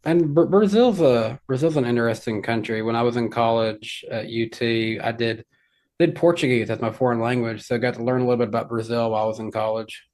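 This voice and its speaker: A man co-hosting a racing podcast in casual chat, speaking in a measured, slightly hesitant way. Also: mid-range voice, a little mellow. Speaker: podcast co-host.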